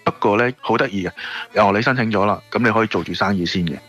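A man's voice: lowish voice, fast talker, fairly bright tone, slightly rough. A man with a Hong Kong Cantonese accent chatting casually and explaining things as a radio guest. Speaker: radio interview guest, shop owner. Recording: music playing behind the voice. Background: background music.

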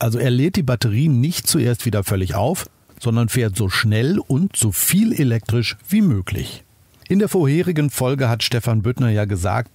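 A man's voice: medium pitch, a little mellow, slightly rough, no room sound. A man hosting a car podcast, narrating a test drive in an upbeat, chatty way and talking straight to the listener. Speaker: podcast host, car reviewer. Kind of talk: scripted car review narration.

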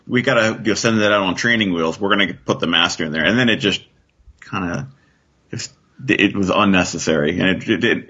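A man's voice: fairly low pitch, pushed and bright, husky. A man chatting casually as a podcast guest, rambling and thinking aloud as he goes. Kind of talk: casual chat. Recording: dull, muffled lo-fi sound.

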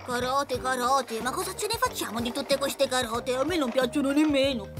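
A woman's voice: high-pitched, moderate pace, music in the background, lightly acted. A woman voicing a small, exclamatory child character in a children's cartoon dub. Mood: puzzled.

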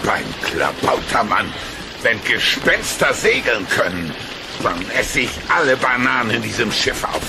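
A man playing a grumpy, blustering cartoon pirate captain in a German children's audio play, grumbling in a gravelly voice. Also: medium pitch, sing-song, measured pace, background noise. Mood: dismayed.

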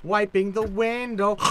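A woman's voice: mid-range voice, creaky, heavily acted. A woman playing a crazy old Cockney maid who grumbles and sings at her chores in an improvised radio play. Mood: sing-song, grumbling. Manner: sing-song muttering while working. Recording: faint background noise.

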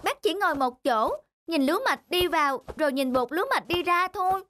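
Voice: high voice, lively intonation, fairly quick, pushed and bright. An actor voicing a naive, restless, childlike little windmill fan in a cartoon, earnest and childish. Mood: indignant, scheming. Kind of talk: cartoon acting.

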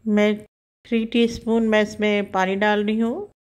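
Female cooking-channel host, calm and instructive, talks through every step as she cooks. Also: medium pitch, moderate pace. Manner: calm, instructive, narrates each action as she does it.

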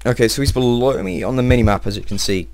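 A young man giving casual, excitable let's-play commentary, swearing and talking to himself, his voice a little strained. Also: medium pitch, fast, slight background noise. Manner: excitable, swears often, talks to himself while playing. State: slightly strained voice. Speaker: gameplay video host.

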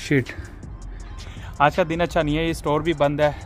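A man's voice: a little high, little pitch movement, normal speed. A man in his thirties, a travel vlogger talking casually to the camera from inside his van, chatting with viewers like friends. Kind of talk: casual vlog talk to camera. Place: inside a van while driving. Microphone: camera held at arm's length. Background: loud background music.

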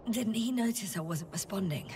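A woman's voice: mid-range voice, distant mic, a little hiss or hum. An actress playing a pensive, wry woman space scientist in a video game, speaking with dry understatement. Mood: wry, annoyed. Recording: loud music behind.

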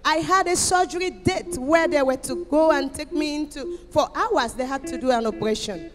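A woman's voice: sits high, moderate pace. A middle-aged woman from Equatorial Guinea giving an emotional testimony to a congregation, declaiming emphatically and repeating phrases into a handheld mic. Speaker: church member giving testimony. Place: church auditorium. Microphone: handheld microphone. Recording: echoey hall PA with music behind.

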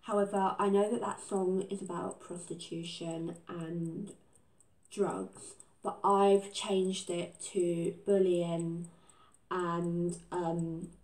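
A woman's voice: fairly low pitch, darkish tone, echoey room, rough recording. A teenage girl with a bad cold, chatting casually and self-deprecatingly in her living room before and after singing. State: congested from a cold. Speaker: vlogger singing a cover. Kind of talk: casual chat and a cappella singing. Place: living room at home, indoors.

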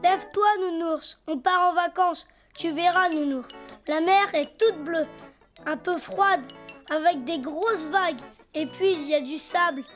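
A woman's voice: extremely high pitch, a little slow, fairly bright tone. A woman playing an eager, imaginative little boy donkey in a French children's cartoon, chirpy, childlike and boastful. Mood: cheerful, busy. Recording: faint music behind.

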